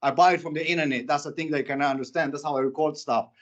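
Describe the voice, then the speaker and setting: A man with a Sudanese accent making an impassioned, halting public comment at a board meeting, often restarting and repeating himself. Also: a little high, fairly even intonation, lo-fi sound.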